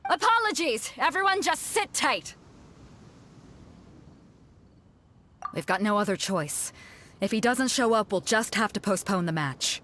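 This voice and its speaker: Brash lady sea captain announcing a tournament, bright forceful voice, really animated, video game style. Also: on the high side, normal speed, slight background noise. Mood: exasperated. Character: bold brash female ship captain hosting a tournament.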